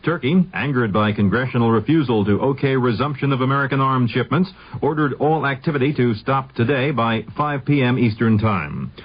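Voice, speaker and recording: A man's voice: medium pitch, animated, fairly quick, few pauses, slightly rough. A man anchoring a scripted radio news bulletin, heard on a muffled vintage 1970s broadcast.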